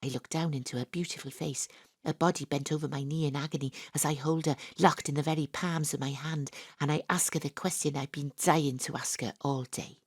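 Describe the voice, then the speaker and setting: An actress voices a daydreaming woman detective narrating her own action scene in a live audio drama. Her voice sits low and she hams up the heroics with a wink at the audience. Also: fairly even intonation, a little fast, slightly rough, no echo, studio quality. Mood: dramatic. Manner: melodramatic, self-mocking first-person narration. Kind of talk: live radio drama acting. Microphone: home studio mic.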